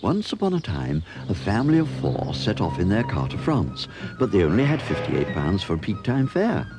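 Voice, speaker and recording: A man's voice: on the low side, wildly swooping pitch, moderate pace. A man narrating an advert like a fairy tale, in a theatrical storytelling manner. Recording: music under the voice. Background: music.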